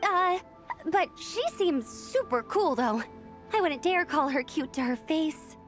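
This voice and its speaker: A woman's voice: high-pitched, lively intonation, takes it slowly, faint music behind. A woman voicing a friendly, chatty young female knight who helps organize an event, acted for a game. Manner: chatty and upbeat. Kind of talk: game voice acting.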